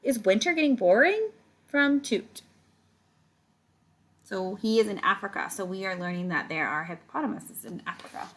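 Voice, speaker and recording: A woman's voice: medium pitch, moderate pace, long pauses. A woman reading a picture book aloud to children like a teacher, stretching words and adding casual explaining asides to her young listeners. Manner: animated storytelling, stretches words, chats directly to young listeners.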